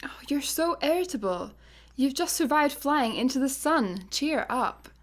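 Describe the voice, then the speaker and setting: A woman's voice: high-pitched, animated, unhurried. A Scottish woman playing a laid-back, literal-minded space satellite employee in a sci-fi comedy radio play, dry and matter-of-fact. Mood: chatty.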